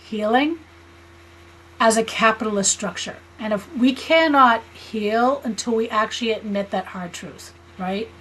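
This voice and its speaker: American woman, 50s, slightly high voice, earnest podcast guest explaining ideas conversationally. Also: measured pace, fairly bright tone, a little hiss or hum, poor audio. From United States. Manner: earnest, explanatory, seeks agreement with "right?". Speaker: guest, spiritual healer and teacher.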